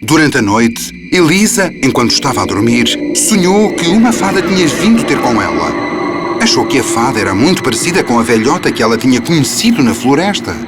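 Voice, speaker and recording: A man narrates a children's fairy tale in a European Portuguese accent. His voice is mid-pitched and rises and falls with lively movement. Loud music plays underneath throughout. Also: a little fast.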